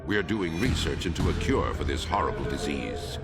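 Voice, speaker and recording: Deep, theatrical feudal lord. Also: male voice, expressive, loud music behind the voice. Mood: grave, explanatory. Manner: measured, theatrical storytelling with dramatic pauses. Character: grave, theatrical feudal lord, ruler of plague-struck lands. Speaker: lord explaining a quest. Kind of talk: dramatic video game cutscene acting.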